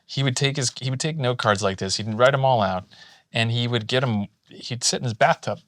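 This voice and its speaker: A man in relaxed podcast conversation, spinning anecdotes with plenty of false starts. His voice sits in an ordinary middle range. The sound is close and dry. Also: mid-range voice, fairly quick, dry recording. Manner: rambling anecdotes with many restarts. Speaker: podcast co-host. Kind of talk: casual chat, storytelling.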